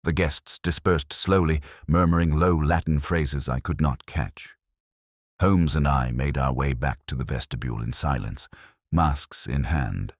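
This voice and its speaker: A man reading a scripted story as narrator, in a solemn, measured and dramatic way. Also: a bass voice, dry recording, studio quality. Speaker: audiobook narrator.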